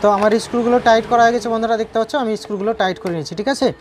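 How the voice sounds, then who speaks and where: A woman's voice: fairly high pitch, fairly even intonation, rapid-fire, noisy background. A woman giving an informal hands-on repair tutorial, repeating points and often checking in with viewers. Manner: repetitive, checking in with viewers with tag questions.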